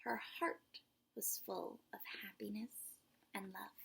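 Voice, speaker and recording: A woman with a breathy voice reads aloud and explains simply to young children in an expressive, emphatic way. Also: mid-range voice, slow. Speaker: children's story reader and host.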